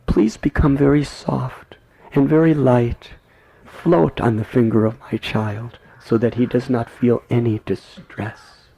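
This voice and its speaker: A man voicing an anxious, loving village mother who frets and pleads in a high, tearful wail, within a devotional storytelling. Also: fairly even intonation, a little slow, gravelly, mellow, slightly rough, low-quality recording. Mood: pleading.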